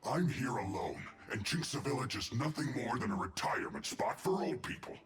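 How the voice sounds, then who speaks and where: An actor playing an evasive, defensive soldier of an enemy army in a video game. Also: male voice, medium pitch, sing-song, moderate pace, roomy sound, lightly acted. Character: sly male soldier of a sinister army, evasive.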